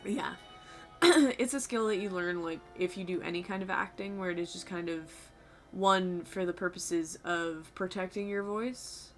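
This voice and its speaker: Low-voiced woman with vocal fry. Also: measured pace. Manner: rambling, talks to chat, swears casually. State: voice tired and sore. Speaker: streamer. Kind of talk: casual stream chat. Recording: faint music behind.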